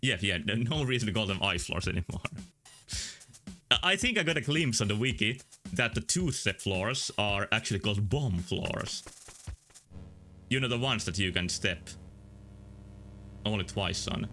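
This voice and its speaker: Bright-voiced man streaming a game. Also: on the low side, expressive, measured pace, pauses often. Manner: rambling, thinking aloud with fillers. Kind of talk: casual game stream commentary. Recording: faint game music behind.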